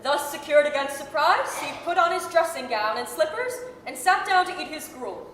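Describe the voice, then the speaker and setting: A woman narrating a radio drama as a measured, articulate, dramatic storyteller. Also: high-pitched, animated, fairly bright tone. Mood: storytelling. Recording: echoey hall, faint noise.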